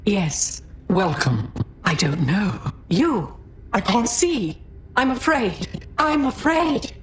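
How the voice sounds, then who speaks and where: An actress playing a tormented, fearful woman trapped as a digitised mind in a video game, speaking in halting, pleading fragments. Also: mid-range voice, sing-song, slight background noise. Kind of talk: cinematic video game acting.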